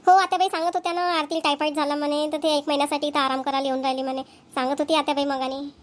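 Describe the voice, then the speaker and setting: Woman playing a high-pitched, selfish young daughter-in-law. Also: flat delivery, racing, close to an everyday voice. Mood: gossipy. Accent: Vidarbha Marathi. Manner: whiny, gossipy, dismissive. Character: selfish, work-shy young daughter-in-law in a joint family. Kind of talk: family comedy cartoon dialogue.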